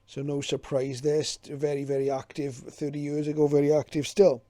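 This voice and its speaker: Even-toned mellow man, casually presenting. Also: a little high, moderate pace, no room sound, studio quality. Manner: hesitant, reflective, informative. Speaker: hobbyist presenter. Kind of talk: casual unscripted commentary while leafing through a guide.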